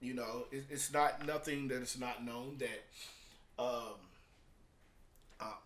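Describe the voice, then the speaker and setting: A man with a mid-pitched voice talks casually to his viewers, like an independent producer hosting his own video. His delivery is unscripted and halting, with repeated words for emphasis. It moves between subdued stretches and hyped, louder pushes. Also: steady tone. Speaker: music producer and entrepreneur hosting a video book review. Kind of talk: casual unscripted vlog monologue.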